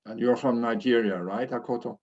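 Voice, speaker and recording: A man's voice: medium pitch, fairly even intonation, a little fast, a little mellow, lo-fi sound. A man moderates a video call, politely calling on participants in short prompts. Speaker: meeting moderator.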